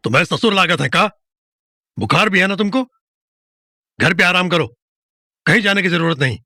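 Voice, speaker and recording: A man's voice: sits high, animated, normal speed, bright, forceful tone, no room sound, studio quality, full character voice. A man voicing a stern husband who gives brusque orders, in an audio drama. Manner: curt, commanding.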